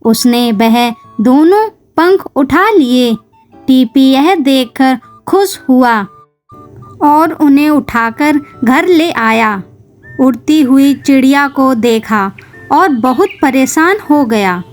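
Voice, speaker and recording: A woman's voice: high voice, animated, takes it slowly, soft background music. A woman narrating a scripted children's story.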